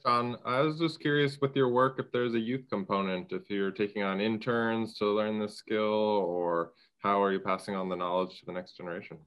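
A man in the audience asks a question in a curious, courteous way. His voice is low and flat. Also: moderate pace, hardly any pauses, clear, resonant tone, lo-fi sound. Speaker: audience member.

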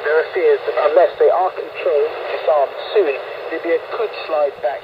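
A man's voice: on the high side, normal speed. A man reporting as a news correspondent in a scripted radio dispatch. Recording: muffled, noisy shortwave radio broadcast.

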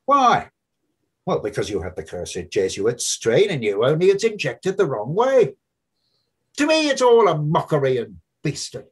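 A man voicing a brash, mocking young male medical student in a loud, high, husky voice. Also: wildly swooping pitch, unhurried, exaggerated voice.

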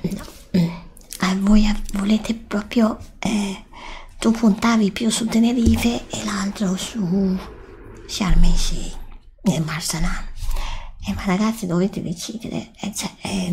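A woman doing a soft-spoken, often whispered ASMR roleplay as a travel agent, breathy and hesitant, close to the microphone. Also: medium pitch, slow, slight background noise. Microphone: desktop USB microphone, close.

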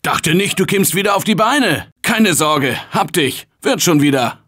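An upbeat office worker turned zombie survivor for a video game. His bright voice swings widely in pitch as he urges the team on, unhurried between the calls. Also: lively intonation, slightly rough, heavily acted. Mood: reassuring. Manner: urgent encouraging team calls, shouting under attack. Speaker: game character voice. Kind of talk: video game voice lines, dubbed.

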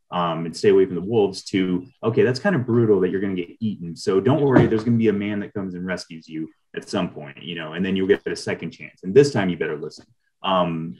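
Man, middle-aged, low-pitched, thoughtful interview guest, explanatory, meandering sentences, video call. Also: darkish tone, rough recording. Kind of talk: reflective interview talk.

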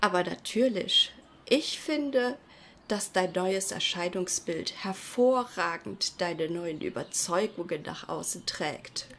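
A rather low female voice of an actress takes its time as a gushing, self-righteous activist in a parody audio play. She sounds affected and earnest, over faint background ambience. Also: lively intonation, slow, a bit bright, a little hiss or hum, heavily acted. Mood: earnest, smug. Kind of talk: parody audio drama acting.

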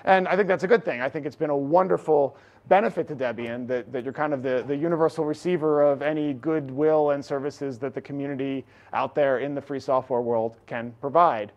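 A middle-aged man giving a conference talk from slides in a large lecture hall, projecting loudly and energetically. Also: medium pitch, fairly quick, muffled recording. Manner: energetic, loud, projecting without amplification. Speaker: conference speaker. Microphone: room recording mic, no lapel.

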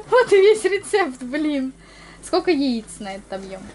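A woman in her thirties with a stuffy nose from a cold, chatting casually while filming her family on a handheld camera. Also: very high-pitched. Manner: chatty, laughs often, asks questions. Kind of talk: casual family vlog chat. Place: outdoors in a summer garden and village lane. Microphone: handheld camera at arm's length. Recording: outdoor camera audio with noticeable background noise.

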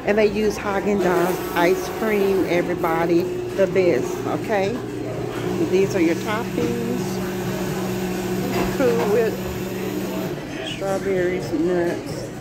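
A woman giving a casual food tour as a vlogger, listing items in an unhurried, enthusiastic way on a handheld phone. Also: medium pitch. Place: large indoor buffet restaurant. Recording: loud busy restaurant crowd noise. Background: loud crowd and dining room clatter.

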